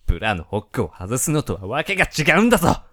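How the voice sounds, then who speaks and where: A man playing a shameless, overeager, mock-earnest companion in a comedy radio drama, moving between theatrical pleading and deadpan absurdity. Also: mid-range voice, fast talker. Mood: indignant.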